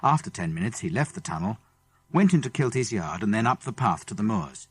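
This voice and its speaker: Male British audiobook narrator, children's adventure; husky tone, very animated, expressive delivery. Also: fairly low pitch, brisk, soft background music. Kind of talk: scripted children's story narration.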